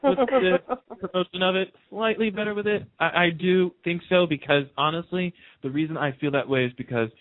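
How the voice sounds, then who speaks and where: A man's voice: sits high, a little fast. A man calling in to a radio show, chatting casually and emphatically as an opinionated fan, repeating phrases to drive his points home. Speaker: call-in guest on a radio show. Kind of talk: casual soap opera fan discussion. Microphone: phone. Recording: muffled, band-limited phone call.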